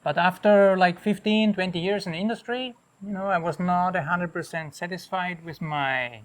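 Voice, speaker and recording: A man's voice: high voice, normal speed, no echo. Austrian man on a jungle walk. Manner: relaxed, rambling, with many restarts. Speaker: hotel owner. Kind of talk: casual interview on a property tour. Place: outdoors on a jungle property.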